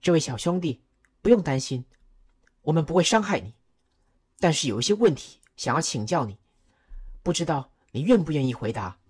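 A performer voicing a gentle, courteous male court advisor who speaks softly and patiently. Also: mid-range voice, big pitch swings, normal speed, long pauses, slightly rough. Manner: soft, patient, kindly.

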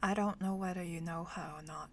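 A woman's voice: on the low side, steady tone, a little fast, creaky, a little hiss or hum. A woman whose first language is Cantonese, chatting casually in English as a podcast host, hesitant and often dropping to a near whisper. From Hong Kong or Cantonese-speaking region. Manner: soft-spoken, hesitant, often trails into a whisper. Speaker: podcast host, guest in this chat. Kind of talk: casual chat.

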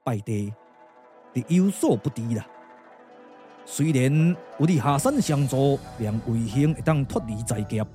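A man with a Taiwanese accent playing a solemn, world-weary older strategist lord in stately, drawn-out puppet-theatre radio drama style. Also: mid-range voice, sing-song, dark tone, soft background music. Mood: gloomy, resigned.

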